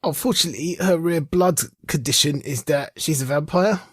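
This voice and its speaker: A man's voice: a little high, normal speed, a bit bright, breathy edge, no room sound, studio quality. A man co-hosting a podcast, chatting casually as he reviews films. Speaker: podcast co-host. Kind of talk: casual chat, film review.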